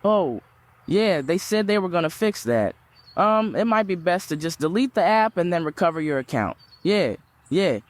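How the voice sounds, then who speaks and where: Nervous rickshaw driver, fairly high voice, hesitant, stammering, evasive, eager, audio drama dialogue. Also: male voice, big pitch swings, normal speed, dry recording. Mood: chatty, hesitant.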